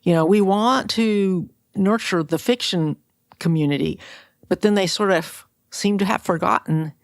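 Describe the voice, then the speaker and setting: A woman's voice: low voice, creaky, darkish tone, no echo, studio quality. A woman talking conversationally as a podcast interviewer.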